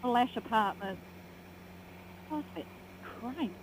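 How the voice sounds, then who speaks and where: A woman's voice: on the high side, animated, a little slow, slightly rough, low-quality recording. A woman riding pillion on a motorbike, chatting in a bubbly way and finishing her partner's sentences. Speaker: vlogger, pillion passenger. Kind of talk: casual chat while riding. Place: outdoors on a moving motorbike. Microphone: action camera on the bike.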